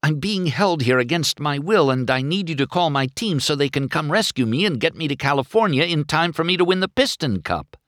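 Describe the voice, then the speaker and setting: A man's voice: mid-range voice, wildly swooping pitch, unhurried, a bit bright, no room sound, studio quality, pitched above the performer's own voice. A man voicing a brash, boastful and desperate male race car in a children's storybook. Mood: urgent pleading.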